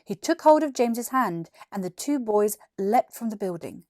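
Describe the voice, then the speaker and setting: A British woman in her twenties reading a children's story in an expressive, clearly articulated narration. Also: a little high, moderate pace, dry recording, studio quality. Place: small furnished room indoors. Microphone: lapel mic.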